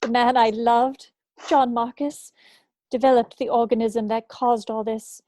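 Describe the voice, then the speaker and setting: A woman at a script table read playing a bitter, grieving woman scientist, speaking gravely and deliberately. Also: on the high side, level tone, a little mellow, lightly acted. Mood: bitter, accusing.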